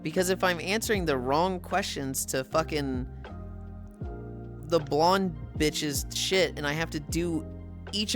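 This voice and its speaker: A woman in her thirties chatting on a casual livestream, swearing freely and laughing through animated rants while she exercises. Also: deep voice. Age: 30s. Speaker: streamer.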